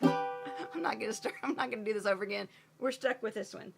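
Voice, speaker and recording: A woman singing with a slightly hoarse voice, sometimes talking between verses with self-deprecating, laughing asides. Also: on the high side, a little fast, loud music behind the voice. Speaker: amateur ukulele player singing for a friend. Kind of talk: home recording of singing with ukulele and asides. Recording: loud ukulele playing under the voice.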